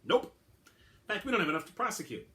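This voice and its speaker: A man playing a brusque, high-pitched legal official. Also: sing-song, quick pace, pushed and bright, heavily acted. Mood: firm, dismissive. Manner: emphatic, exasperated. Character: brusque male prosecution liaison, dismissive and emphatic. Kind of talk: dramatic story reading.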